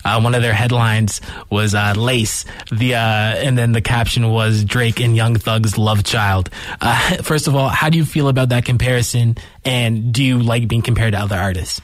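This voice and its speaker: A young male host with an easygoing, casual manner who keeps the talk moving with short affirmations. His voice sits in a middle pitch. The sound is dry and close. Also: steady tone, no echo. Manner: easygoing, quick to affirm with "dope" and "mm-hmm". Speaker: interview host. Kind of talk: casual interview questions.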